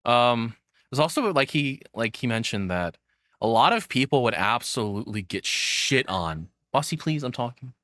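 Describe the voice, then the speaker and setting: A man's voice: medium pitch, sing-song, moderate pace, a bit bright, no room sound, clean studio sound. A man in his thirties giving casual, rambling reaction commentary as the host, swearing freely. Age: 30s.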